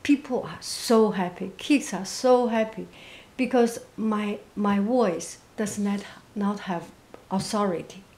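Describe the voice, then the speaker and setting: A woman of medium pitch speaks English as a second language. Her voice is soft, quiet and monotone, with little force behind it. She answers at a very slow pace, gently and haltingly, reflecting as she goes. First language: not English. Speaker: interviewee, painter and teacher.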